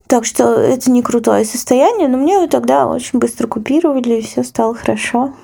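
A woman's voice: fairly high pitch, fairly quick, vocal fry, dark tone. A woman casually recounting a personal memory as a podcast guest, candid and colloquial. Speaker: guest editor telling a personal story. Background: background music.

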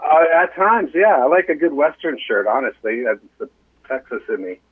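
A man's voice: a little high, big pitch swings, normal speed, breathy edge. A middle-aged American man, a musician guest on a show, chatting casually over a muffled lo-fi call. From United States. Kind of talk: casual chat.

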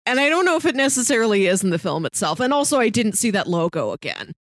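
A woman's voice: fairly high pitch, sing-song, fast, dry recording. Wry woman co-host, close dry sound. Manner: wry, playfully wordy. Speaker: podcast co-host. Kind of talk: casual review chat.

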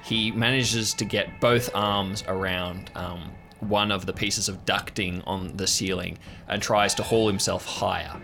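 A man's voice: low voice, steady tone, measured pace, vocal fry, few pauses. A man narrating an improvised tabletop audio drama as game master. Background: music.

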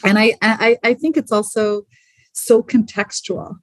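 A woman's voice: on the high side, fairly even intonation, unhurried, breathy edge, no room sound. A woman speaking in reflective conversation, thoughtful and articulate, correcting herself as she goes. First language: English. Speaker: museum professional, interview guest.

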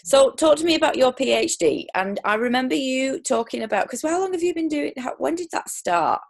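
A woman's voice: high-pitched, expressive, fairly quick, creaky. A woman hosting a casual interview chat, talking in loose, rambling sentences full of restarts and ums. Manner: chatty, lots of restarts and ums. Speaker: podcast host.

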